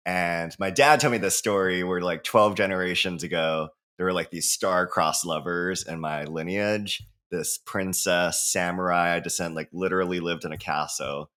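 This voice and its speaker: Low-voiced guy from hawaii, bright tone, chatty guest, laughs a lot, lots of "you know"s. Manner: animated, laughs often, fillers like "you know". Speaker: guest author. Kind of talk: casual interview talk.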